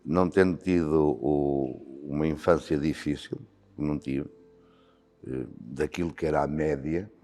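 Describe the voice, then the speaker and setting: Man in his sixties, European Portuguese accent, extremely low and mellow voice, hesitant reflective speech, reverberant hall. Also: steady tone, measured pace. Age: 60s. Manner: thoughtful, with frequent hesitations. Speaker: volunteer fire commander. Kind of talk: reflective interview answers. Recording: long hall reverb with faint music.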